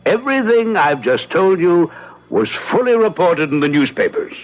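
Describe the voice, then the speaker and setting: A suave, brilliant French detective in a vintage radio play. His delivery is theatrical and patronizing, swooping widely in pitch, and the recording is slightly dull. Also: big pitch swings, moderate pace. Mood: amused, superior. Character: urbane, brilliant, reclusive French gentleman detective. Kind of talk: 1970s radio drama acting.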